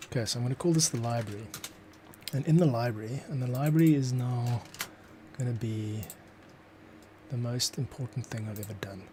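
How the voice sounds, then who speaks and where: A man's voice: medium pitch, fairly quick, dark tone, slight background noise. A man, a composer, talking through his scoring process as he works, thinking aloud. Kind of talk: thinking aloud tutorial commentary.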